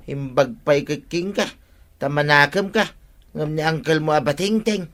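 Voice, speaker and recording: An offended villager in a radio comedy drama. He grumbles plainly in a fairly high male voice with an Ilocano Filipino accent. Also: normal speed, fairly bright tone.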